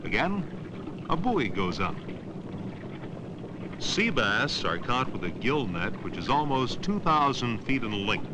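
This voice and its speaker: A man reads scripted educational documentary narration in a measured, declarative way, in the style of around 1970. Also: mid-range voice, big pitch swings. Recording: noisy old educational film soundtrack.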